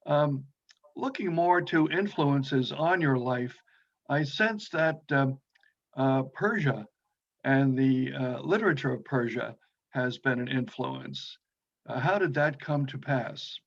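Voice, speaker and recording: A man conducting an interview, asking questions in a polite, measured, conversational way. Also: medium pitch, creaky. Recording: dull lo-fi call audio.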